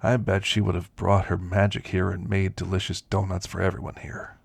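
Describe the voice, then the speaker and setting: A man playing an elderly, ailing werewolf in an ASMR roleplay, gentle and reflective, reminiscing in a soft, deliberate, gravelly voice. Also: low voice, level tone, no room sound, full character voice. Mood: wistful, tender. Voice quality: gravelly, husky.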